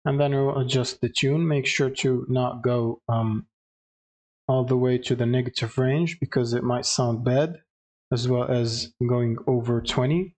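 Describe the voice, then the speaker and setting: Guy doing a step by step software tutorial, kinda halting, medium pitched voice. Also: steady tone. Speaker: tutorial presenter.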